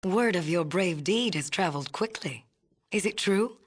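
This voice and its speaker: A woman's voice: lowish voice, expressive, normal speed, husky. A woman playing a haughty, imperious leader of a city in a video game, speaking formally and precisely with a condescending manner. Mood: gracious, patronising. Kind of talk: video game character dialogue.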